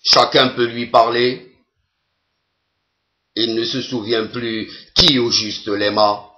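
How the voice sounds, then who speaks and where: A man giving a solemn poetry recitation in a measured, deliberate way, pausing between phrases. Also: mid-range voice, level tone, bright, forceful tone, dull audio.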